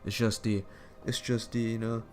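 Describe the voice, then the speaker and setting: A man giving casual commentary as a streamer, halting and repeating his phrases as he thinks aloud. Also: on the low side, flat delivery, faint music behind. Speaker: streamer reacting to another stream.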